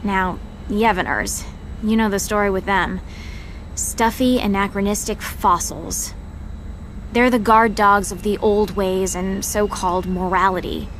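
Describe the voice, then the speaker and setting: A woman's voice: mid-range voice, unhurried, slight background noise, low-quality recording. A woman playing a sardonic seventeen-year-old girl agent in a video game audio drama, snide and inquisitive, outwardly polite but privately scornful. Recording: loud background music under voice.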